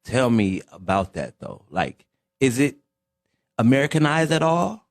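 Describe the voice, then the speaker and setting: A man hosting a casual interview, asking short questions and giving relaxed backchannels. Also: medium pitch, measured pace, no echo, clean studio sound. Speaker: host.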